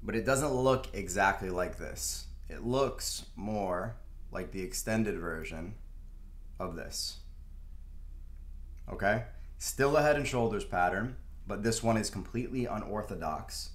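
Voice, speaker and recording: A man's voice: lowish voice, measured pace, reverberant. Casual young man explaining stock charts. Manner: rambling, filler-heavy, laughs to himself. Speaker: stock market video host. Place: room at a desk, in front of a screen.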